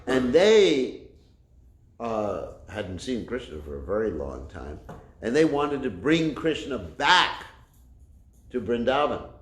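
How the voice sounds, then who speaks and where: Loud man teaching in an echoey room. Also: mid-range voice. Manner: rambling, many fillers and restarts. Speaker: spiritual teacher. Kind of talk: religious class talk with storytelling. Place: class room with a kitchen nearby. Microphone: no microphone, room recording.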